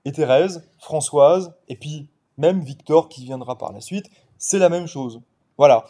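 A man in his twenties from the Paris suburbs, a novelist talking through his book in a casual interview, thoughtful and pausing often as he explains. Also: on the high side, warm tone. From Paris suburbs, France. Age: 20s. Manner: thoughtful, explains at length with frequent pauses. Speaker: novelist guest.

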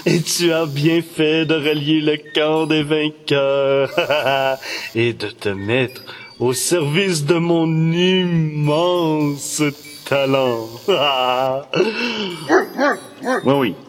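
Villain: gloating mad scientist, slow and theatrical, reverberant hall, music behind. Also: male voice, on the high side. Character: demonic mad male professor, gleeful villain. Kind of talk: children's radio drama acting.

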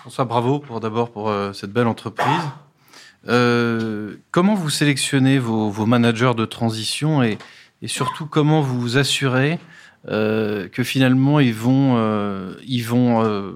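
A male co-host with a mid-range voice asks his question in a measured, hesitant way. Faint background noise sits under his voice. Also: mid-range voice. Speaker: co-host, company CEO.

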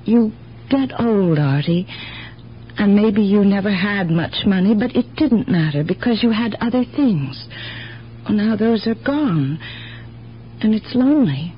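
An actress playing a kindly, wistful older aunt in a radio drama, speaking earnestly and haltingly with compassion. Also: female voice, mid-range voice, lively intonation, lightly acted. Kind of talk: 1970s radio drama acting. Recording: muffled, noisy, humming old radio recording.

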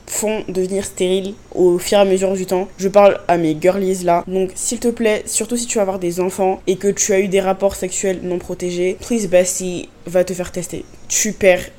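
Young french woman podcasting, mellow warm voice, chatty big-sister vibe, slips in english words. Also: medium pitch, flat delivery, moderate pace, hardly any pauses. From France. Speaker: podcast host. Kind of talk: casual confessional podcast talk.

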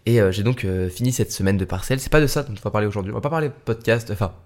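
Young guy podcasting late, kinda tired, lowish voice, lots of euh and restarts. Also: rapid-fire, a little mellow. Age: young adult. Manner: hesitant, many fillers and restarts. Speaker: student podcast host. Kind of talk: casual solo podcast talk.

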